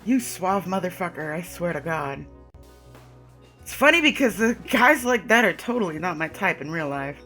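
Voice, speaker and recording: A young woman with a stuffy, sniffly voice, chatting excitably and swearing casually as she plays and reads through a game. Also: fairly low pitch, normal speed, soft background music. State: congested and sniffly. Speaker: gaming video creator. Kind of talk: let's play commentary and reading game text.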